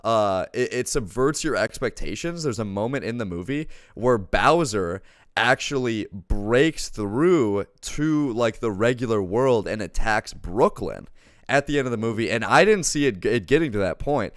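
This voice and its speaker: A man's voice: mid-range voice, expressive, a little slow, no echo, studio quality. A man co-hosting a casual, enthusiastic review, speaking effusively with slangy wording and emphatic repetition. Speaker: co-host giving a movie review.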